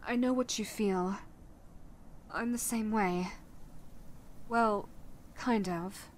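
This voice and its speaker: Woman acting out a slow-talking, caring vampire lady. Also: medium pitch, darkish tone, slight background noise, close to an everyday voice. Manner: soothing, coaxing, speaking directly to the listener. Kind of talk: roleplay audio acting, ASMR-like.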